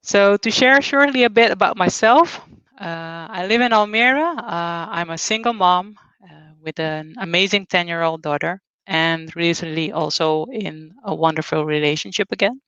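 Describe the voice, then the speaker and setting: A woman in her forties, raised in Suriname, giving a relaxed, candid talk at an online company event. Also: on the low side, moderate pace, few pauses, clear, resonant tone, dry recording. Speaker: conference speaker. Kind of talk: webinar talk. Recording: dull, lo-fi sound.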